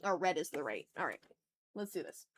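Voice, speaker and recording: A woman's voice: deep voice, sing-song, fairly quick, a little hiss or hum. A woman chatting casually on an art livestream, thinking aloud with self-deprecating remarks and some swearing. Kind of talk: casual livestream chat while drawing.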